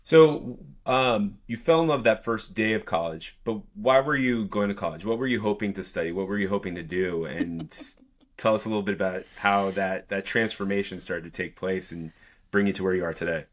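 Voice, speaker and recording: A man's voice: lowish voice, normal speed. A man hosting a podcast interview, affirming his guest and asking follow-up questions. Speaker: podcast host. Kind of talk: conversational interview.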